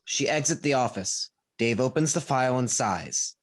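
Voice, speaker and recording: A man's voice: medium pitch, expressive, moderate pace. A man reading out stage directions as scripted narration for a play over an online call. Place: home, online video call. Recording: faint noise, clicks on online call.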